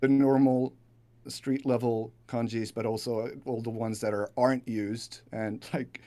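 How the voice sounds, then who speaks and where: A man who lives in Japan, a podcast guest talking casually in a laid-back, halting way with many fillers. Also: medium pitch, level tone, warm tone. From living in Japan. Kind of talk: casual chat.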